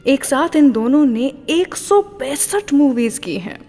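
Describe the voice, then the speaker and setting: Lady radio host, upbeat n chatty, highish voice, big reverb on it. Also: expressive, measured pace, dark tone. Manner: chatty, asks and answers own questions. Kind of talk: upbeat scripted radio presenting. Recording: long hall reverb. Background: faint music.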